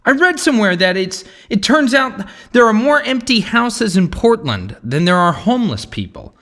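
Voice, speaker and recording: Man as an exasperated, high-voiced slacker. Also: big pitch swings, normal speed, a bit bright, heavily acted. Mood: musing. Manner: sweary, whiny complaining. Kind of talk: audio comedy sketch acting.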